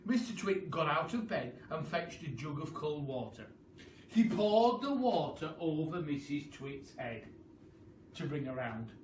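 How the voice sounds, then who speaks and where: Мужчина выразительно, неспешно читает детскую сказку. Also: male voice, fairly high pitch. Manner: expressive, deliberate storytelling. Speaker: teacher reading a storybook aloud. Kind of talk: children's story reading with asides. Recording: roomy, distant mic, faint noise.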